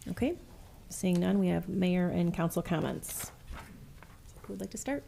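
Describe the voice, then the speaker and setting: A woman chairs the meeting in a brisk, procedural manner. Her voice is lowish, dark and gravelly, and she moves things along quickly. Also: animated, slight background noise.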